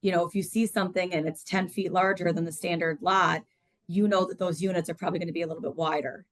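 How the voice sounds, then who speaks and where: A woman's voice: fairly low pitch, steady tone, fast talker, no room sound. A woman hosting an interview in a conversational style, putting questions to a guest. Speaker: host interviewer.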